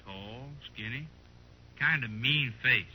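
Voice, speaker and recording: An American actor playing a chatty, folksy frontier storekeeper in a 1950s radio western, with drawling rustic speech. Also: male voice, mid-range voice, takes it slowly, pushed and bright, slight background noise, poor audio. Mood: curious. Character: folksy, curious frontier gun-shop storekeeper. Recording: old 1950s radio recording.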